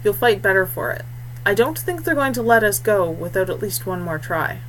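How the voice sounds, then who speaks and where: Woman playing young male warrior, calm, watchful, wry, practical, medium pitch, storybook dialogue, faint noise. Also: normal speed, lightly acted, poor audio.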